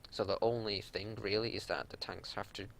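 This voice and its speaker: Man, lowish pitch, heavy vocal fry, unscripted raid-leader commentary, exasperated matter-of-fact explaining. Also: fairly even intonation, fairly quick, a bit bright, a little hiss or hum. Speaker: raid leader reviewing gameplay. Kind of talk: unscripted game analysis commentary.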